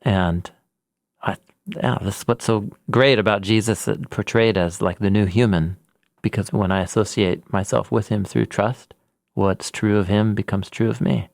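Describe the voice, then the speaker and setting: A man co-hosting a podcast, talking through ideas in a relaxed, thoughtful way and joking at his own rhymes. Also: lowish voice, normal speed, gravelly, no room sound, clean studio sound. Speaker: podcast co-host, Bible scholar. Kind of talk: casual reflective conversation.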